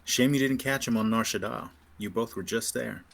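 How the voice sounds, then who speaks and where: A man reading a composed, persuasive rebel officer with a husky voice in a sci-fi table read. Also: lowish voice, normal speed, a bit bright. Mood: friendly, dry.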